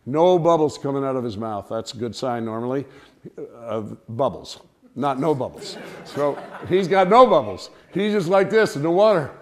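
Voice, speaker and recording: A man telling a humorous personal anecdote to listeners in an animated, theatrical way. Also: mid-range voice, normal speed. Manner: animated, theatrical storytelling with acted-out cries and dialogue. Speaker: storyteller, speaker to an audience.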